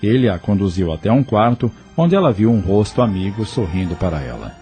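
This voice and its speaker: A man's voice: on the low side, sing-song, a little fast, dark tone, faint music behind. A man with a Brazilian accent narrating an audio novel from a script.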